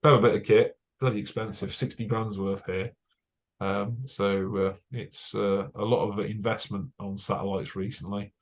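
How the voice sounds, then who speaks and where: A young British man with a fairly low voice talks a club audience through his engineering work. He is chatty and technical, full of "uh" fillers, and drops dry jokes along the way. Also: level tone, moderate pace. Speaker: broadcast engineer giving a club talk. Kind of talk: casual presentation over slides.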